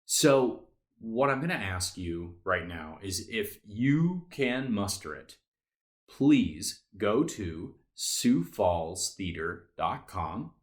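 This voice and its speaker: A man with a slightly low voice talks to listeners as a theater presenter. He is informal and chatty, feeling out his phrasing as he makes an appeal. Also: big pitch swings, slow pace. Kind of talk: casual donation appeal to listeners.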